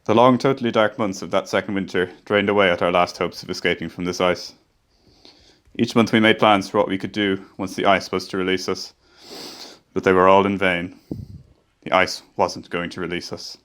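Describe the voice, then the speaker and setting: Guy playing a sailor telling the old voyage story, low voice, grave and steady. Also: normal speed. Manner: grave, reflective narration. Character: male ship's engineer recalling the voyage. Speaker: narrator. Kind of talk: scripted radio play narration.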